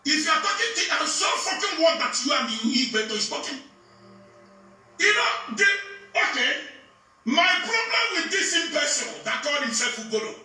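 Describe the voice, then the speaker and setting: A man's voice: extremely high pitch, big pitch swings, moderate pace, bright, forceful tone. A man with a Nigerian Igbo accent in a heated, confrontational rant, repeating himself and throwing insults. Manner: agitated, insulting, confrontational, repetitive. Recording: distant, echoey recording with music underneath.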